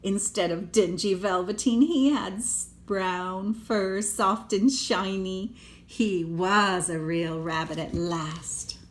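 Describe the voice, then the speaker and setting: A woman's voice: mid-range voice, fairly even intonation, slow. An older woman, a grandmother, reading a storybook expressively to children with chatty asides. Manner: child-directed, dramatic emphasis, gasps and hushed asides.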